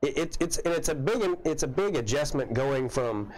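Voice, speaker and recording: A man's voice: medium pitch, animated, a little mellow, rough recording. A man in his forties with a Southern US accent, a comedian chatting easygoing in an interview, often stopping and restarting his sentences. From Arkansas, raised in Kansas City. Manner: halting, many restarts, easygoing. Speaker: comedian guest. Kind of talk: casual interview chat. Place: basement of a comedy club.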